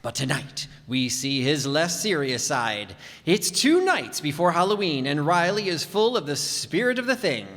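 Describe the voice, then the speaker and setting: In an echoing hall, a man plays an earnest 1940s radio announcer. His voice is high for a man and highly animated. He delivers scripted narration in a grand manner. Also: normal speed, hardly any pauses. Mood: grand, sincere. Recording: echoey hall stage recording.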